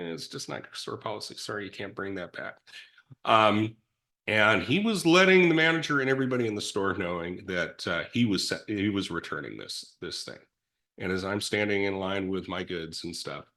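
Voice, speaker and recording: A middle-aged man in recovery telling his story in a reflective, rambling way with many restarts and self-deprecating asides. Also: lowish voice. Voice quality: big loud voice. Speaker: guest in recovery.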